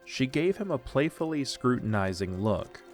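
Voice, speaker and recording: A man's voice: mid-range voice, very animated, moderate pace, faint music behind. A man narrating a scripted story for an audio drama.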